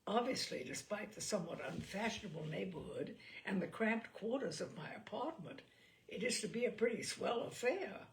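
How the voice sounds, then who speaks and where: A woman hosting a reading series, speaking in an expressive, deliberate, theatrical storytelling style. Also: medium pitch, gravelly. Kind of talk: expressive literary reading with chatty introduction.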